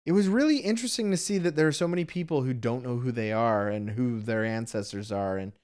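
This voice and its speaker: A man's voice: mid-range voice, fast, darkish tone. A Canadian man co-hosting a casual movie talk, reflective and rambling, with lots of "you know" and "like". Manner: reflective, rambling, many fillers. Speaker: film podcast co-host. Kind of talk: casual movie review chat.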